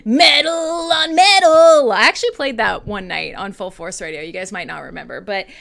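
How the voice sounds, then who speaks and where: A woman with a Canadian accent hosting a casual, irreverent live radio show, talking in an excitable, playful, rambling way with plenty of swearing. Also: mid-range voice, normal speed, vocal fry. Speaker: radio host and metal DJ. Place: her own home-style studio.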